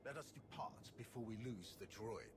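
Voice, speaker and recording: An actor playing a grim, commanding warrior in a video game, speaking in a formal, solemn way. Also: male voice, lowish voice, expressive, moderate pace, noisy background. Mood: stern. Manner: formal, clipped, solemn speech. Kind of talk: video game cutscene acting. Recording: music behind the voice.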